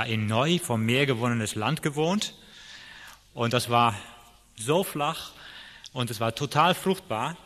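A man's voice: medium pitch, sharp tone. A middle-aged man from the Netherlands, a native Dutch speaker with a Dutch accent, giving an informal personal talk as a guest speaker. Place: large reverberant hall. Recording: echoey hall with long reverb.

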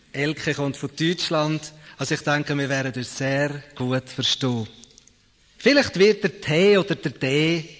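A man's voice: a little high, fairly bright tone. A man with a Swiss German accent giving an informal spoken welcome as host to an audience, introducing guest speakers. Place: large reverberant hall. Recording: echoey hall with long reverb.

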